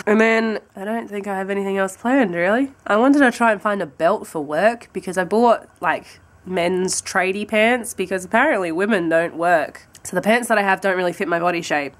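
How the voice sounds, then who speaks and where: A young Australian woman in her twenties with a husky voice from a cold. She chats casually to a handheld camera at home and rambles through her plans. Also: lowish voice. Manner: chatty and rambling, with light laughs. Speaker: vlogger. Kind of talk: casual vlog chat to camera. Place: at home, indoors, by a window. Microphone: handheld camera close to the face.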